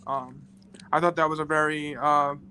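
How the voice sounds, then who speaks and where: A man speaking as a guest in a casual review discussion, halting as he searches for words. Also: fairly high pitch, fairly even intonation, pushed and bright, slight background noise.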